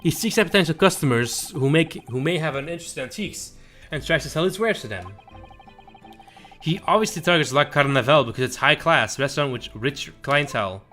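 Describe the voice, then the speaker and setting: Higher-voiced man reading game dialogue. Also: expressive, a little fast, sharp tone, faint music behind. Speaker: game streamer. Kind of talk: reading visual novel dialogue aloud.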